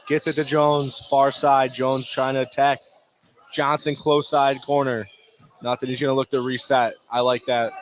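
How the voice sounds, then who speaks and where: A man's voice: mid-range voice, flat delivery, normal speed. Male basketball play-by-play caller over gym noise. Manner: clipped running phrases. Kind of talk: live sports radio commentary. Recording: noisy gym ambience behind. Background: basketball bounces, gym noise.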